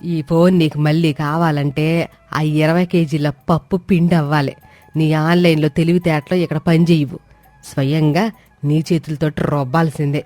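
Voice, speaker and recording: A woman's voice: low-pitched, steady tone, moderate pace, warm tone, dry recording, lightly acted. Woman acting a bossy, scolding village mother-in-law, music behind. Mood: stern, commanding. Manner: scolding, sarcastic, rhetorical questions. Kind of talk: acted dialogue in an animated moral story.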